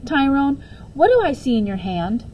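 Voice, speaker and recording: Woman as a firm, mellow-voiced schoolteacher. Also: high-pitched, big pitch swings, slight background noise, voice pushed up, rough recording. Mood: stern. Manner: measured, articulate classroom authority. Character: firm but kindly female second-grade schoolteacher.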